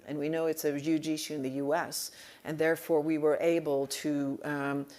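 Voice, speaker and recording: A woman's voice: deep voice, flat delivery, moderate pace, warm tone. A woman moderating a conference panel, speaking thoughtfully with frequent fillers and restarts.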